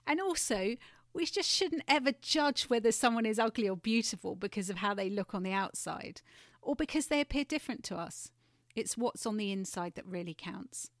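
A woman narrating a storybook expressively and gently, with chatty asides. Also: medium pitch, animated, moderate pace, no echo, clean studio sound. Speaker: guest storyteller.

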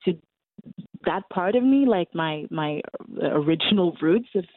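A woman in her twenties with a Norwegian accent, a musician answering interview questions in English, often pausing to hunt for words. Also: on the low side, animated, dry recording. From Norway. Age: 20s. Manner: hesitant, searching for English words. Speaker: musician guest. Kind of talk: casual interview over a call. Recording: tinny phone-like line.

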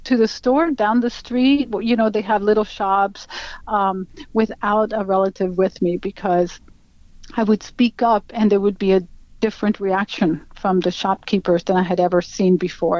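A woman's voice: medium pitch, moderate pace, darkish tone, dry recording. A middle-aged woman from Venezuela with a Venezuelan Spanish accent, telling her story earnestly as an interview guest, with many fillers and acted-out quotes. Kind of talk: personal account in an interview. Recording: dull, dry lo-fi sound.

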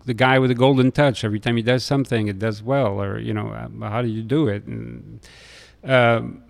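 A middle-aged man from France with a French accent, telling stories in an interview in a halting way, often hesitating and repeating words. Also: medium pitch, fairly even intonation, vocal fry. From Lille, France. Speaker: restaurateur being interviewed. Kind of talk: conversational interview storytelling.

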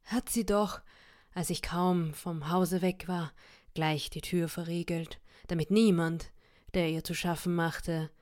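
A woman's voice: lowish voice, steady tone, takes it slowly, darkish tone. A woman reading the lines of a poor, honest husband musing earnestly to himself, in a story reading. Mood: grateful.

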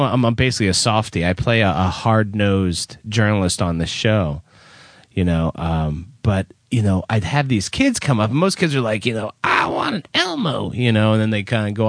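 A man's voice: on the low side, lively intonation, a little fast, no room sound, clean studio sound. A middle-aged man co-hosting a talk show, rambling through a story in casual chat, self-deprecating and laughing often, with a whiny, nasal voice. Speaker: podcast co-host.

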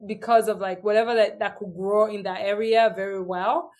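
Lively Tanzanian woman telling stories. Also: medium pitch, normal speed. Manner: lively storyteller, acts out what others say. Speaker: guest. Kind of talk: casual interview chat.

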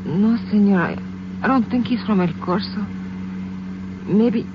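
An actress playing a frightened, injured young Mexican woman in a 1950s radio drama, speaking with a Mexican Spanish accent and a breathy voice that falters with pain. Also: mid-range voice, a little slow, natural voice. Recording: muffled old radio broadcast with hiss.

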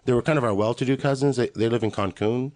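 A man's voice: medium pitch, fast talker, a little mellow. A man in his late forties from Los Angeles with an American accent, telling casual, rambling, joking stories as a podcast guest. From Los Angeles, USA. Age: late 40s. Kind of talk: casual conversational storytelling.

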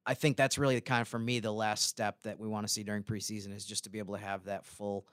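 A man co-hosting a sports podcast, chatting analytically in a measured, careful way. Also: on the low side, flat delivery, fairly bright tone, clear, resonant tone, dry recording. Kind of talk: casual analytical chat.

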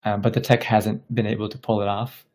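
A man's voice: fairly low pitch, little pitch movement, a little fast, darkish tone. A man from Bangladesh speaking as an interview guest, explaining things casually and thoughtfully, often searching for his words. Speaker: tech company founder interviewed as podcast guest.

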